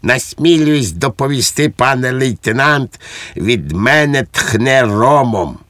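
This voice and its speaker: A man's voice: medium pitch, animated, slow pace, fairly bright tone, no echo, pitched above the performer's own voice. A man voicing an unflappable, naively obedient common soldier who rambles earnestly in an audiobook. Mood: untroubled, earnest. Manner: earnest, untroubled, long-winded. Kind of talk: audiobook character acting.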